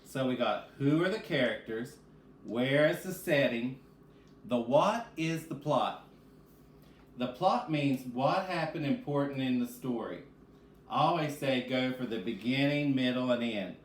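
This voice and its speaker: A man's voice: mid-range voice, expressive, unhurried. Man reading to children in an echoey room. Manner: addresses children directly, asks and answers own questions. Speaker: reading specialist, teacher. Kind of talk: children's read-aloud and question-led lesson. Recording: echoey room with faint background noise.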